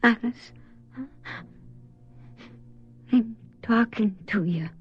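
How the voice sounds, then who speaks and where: A woman's voice: fairly high pitch, a little slow, breathy edge, lo-fi sound. An actress playing a horrified, remorseful woman in a radio horror drama, speaking with dramatic weight. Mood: urgent, consoling. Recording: band-limited radio drama, faint music.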